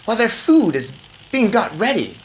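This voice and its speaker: A woman's voice: fairly high pitch, sing-song, moderate pace, slight background noise, voice pushed up, rough recording. A woman voicing a small, plucky boy turned mouse in a storybook, earnest and eager.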